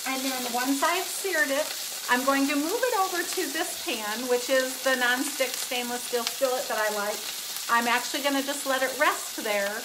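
A woman's voice: high voice, normal speed, background noise. A woman in her fifties hosting a live cooking stream from her home kitchen, chatty and upbeat as she explains each step into a phone camera. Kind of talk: casual cooking demonstration. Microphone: phone camera, often held close, sometimes across the kitchen. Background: sizzling pan.

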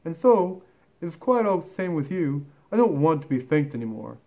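Storybook young elephant, male: high, breathless, earnest, impeccably polite and curious; performed by a man. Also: sing-song, normal speed, mellow. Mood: polite, resigned.

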